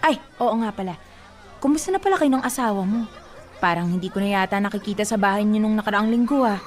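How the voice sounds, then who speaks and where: A woman's voice: mid-range voice, animated, quick pace, a little mellow, lightly acted. An actress playing a nosy, talkative neighbor woman in a radio drama, prying and handing out blunt advice.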